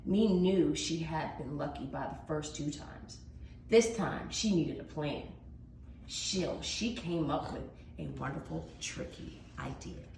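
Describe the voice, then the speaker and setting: A woman's voice: deep voice, a little mellow. A woman reading a children's storybook aloud as a teacher, with dramatic, emphatic expression. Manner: dramatic, with emphatic drawn-out words. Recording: roomy echo, faint background noise.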